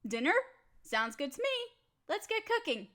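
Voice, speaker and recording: A woman plays a young female roommate cooped up at home in a casual audio drama. Her voice is high, and she is bored and restless. She chats in slang with a dramatic, playful grumble, speaking straight to the listener. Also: very animated, moderate pace, pushed and bright, full character voice. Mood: upbeat, playful. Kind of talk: casual scripted roleplay audio, talking to the listener.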